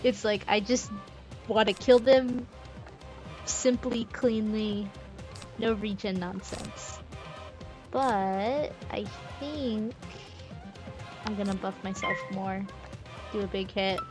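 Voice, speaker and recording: A woman's voice: medium pitch, slow, pauses often. A woman, chatting informally as she commentates on a game livestream. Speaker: game streamer. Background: video game music.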